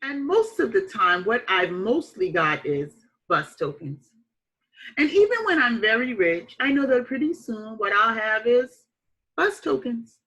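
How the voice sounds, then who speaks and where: A woman reading a children's picture book aloud like a teacher, with expressive, emphatic phrasing and deliberate dramatic pauses. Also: on the high side, rough recording.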